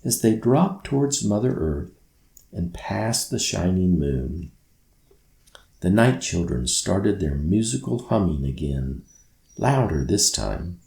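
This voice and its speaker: A man reading a children's story aloud as scripted narration, in a measured, gentle bedtime manner. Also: low voice, lively intonation, vocal fry. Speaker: author reading own story. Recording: roomy, echoey sound.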